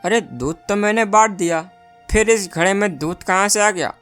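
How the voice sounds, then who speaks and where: A woman's voice: mid-range voice, normal speed, a bit bright, faint music behind, natural voice. A woman voicing an honest, earnest village milkman and father in a moral-story cartoon, given to exclaiming. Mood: amazed.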